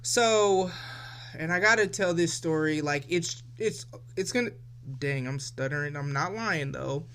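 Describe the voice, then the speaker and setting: A woman's voice: low voice, measured pace, fairly bright tone, a little hiss or hum. A woman of forty hosting a casual solo podcast, talking in a reflective, loose and chatty way straight to her listeners. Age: 40s. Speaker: podcast host.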